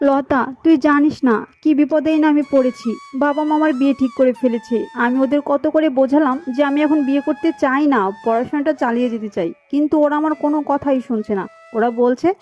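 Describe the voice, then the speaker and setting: A high, mellow voice of a poor, dutiful teenage girl, acted by a woman for a village cartoon. She talks fast yet sounds plaintive and resigned. The audio is slightly dull. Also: fairly even intonation, faint music behind, natural voice. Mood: sorrowful. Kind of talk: Bengali cartoon voice acting.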